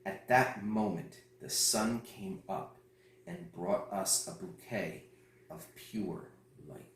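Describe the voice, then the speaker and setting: A man's voice: low-pitched, slow, slight background noise. A man reciting poetry as a reader at a festival. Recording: roomy echo, distant mic.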